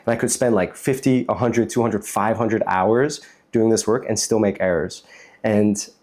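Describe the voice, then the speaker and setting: A man's voice: fairly low pitch, steady tone, moderate pace, a little mellow. A man from New York with an American accent, talking casually and explaining things as an interview guest. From United States, New York City. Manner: explanatory, conversational, frequent "like". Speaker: interview guest, startup chief executive.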